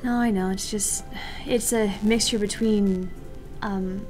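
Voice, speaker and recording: A woman's voice: medium pitch, fairly even intonation, slow pace, darkish tone, faint music behind. A woman co-hosting a gaming stream, chatting in an informal, blunt way. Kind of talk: casual chat.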